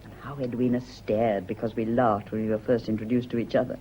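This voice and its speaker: A woman's voice: extremely low pitch, level tone, fairly quick, mellow. An actress playing an anxious, guilt-haunted woman with a British accent, nervous and brooding in a radio drama. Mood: reminiscing. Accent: British English. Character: anxious, guilt-haunted woman, former live-in servant or companion. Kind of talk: British stage-style radio drama acting. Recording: dull, hissy old radio recording.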